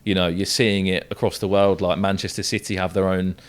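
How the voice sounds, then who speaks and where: A young man with a low-pitched voice and a southern English accent. He is an esports football commentator, chatting casually as a guest on a podcast. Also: little pitch movement, brisk, clear, resonant tone. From south coast of England. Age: young adult.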